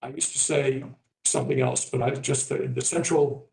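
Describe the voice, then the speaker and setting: An older man who grew up in Taiwan, moderating a panel and introducing speakers in a halting, rambling way full of fillers and restarts. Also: medium pitch, steady tone, vocal fry, darkish tone. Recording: echoey room.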